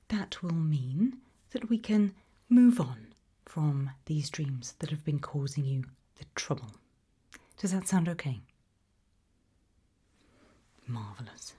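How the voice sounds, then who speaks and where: A British woman whispering gently in an ASMR roleplay as a calm, attentive sleep clinic practitioner, reassuring and soothing. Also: low voice. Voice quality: breathy, whispered.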